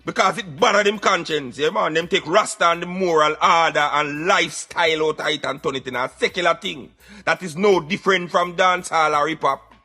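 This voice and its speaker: A man acts as an opinionated Jamaican Rasta-style commentator with a high, forceful, bright voice. He lectures emphatically in patois, repeating words as he drives points home, over a light music bed. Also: a little fast. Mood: indignant. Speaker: radio show commentator. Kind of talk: satirical social commentary in patois.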